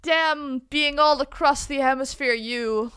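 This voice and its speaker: Young dutch woman, high bright voice, argues and teases a lot, dry mic. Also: no echo. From Netherlands. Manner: quick, argumentative and teasing. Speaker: podcast co-host. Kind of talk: casual bantering chat.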